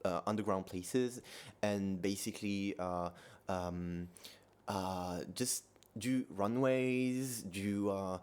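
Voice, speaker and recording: A man's voice: fairly low pitch, steady tone, slow, darkish tone, smooth. A young man from Tunisia, a guest on a podcast, speaking casually and hesitantly with many fillers and restarts. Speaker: drag performer guest. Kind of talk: casual chat.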